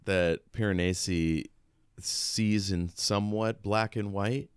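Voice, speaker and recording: A man with a very low, smooth voice talks through a book as a podcast co-host. He feels his way through his thoughts in a halting, conversational manner. The sound is dry and close, with no room around it. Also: fairly even intonation, no room sound. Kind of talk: casual book discussion with read-aloud excerpts.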